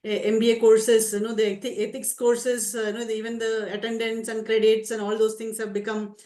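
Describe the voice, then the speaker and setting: A woman's voice: fairly high pitch, little pitch movement, brisk, warm tone. Polite woman in a roomy hall. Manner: polite, hesitant with fillers. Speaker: audience member asking a question. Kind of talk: academic Q&A comment. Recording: roomy echo.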